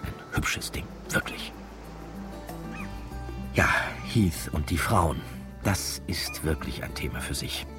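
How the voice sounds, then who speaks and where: An actor playing a wry, easygoing narrator of village life in a radio drama, telling it in an unhurried way. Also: male voice, rumbling low, expressive, in a whisper, over music, exaggerated voice. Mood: wry, musing.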